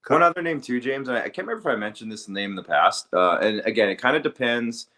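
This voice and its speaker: A man's voice: medium pitch, lively intonation, fast, bright, forceful tone. A man co-hosting a sports podcast, talking casually and conversationally with some hedging. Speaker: hockey podcast co-host.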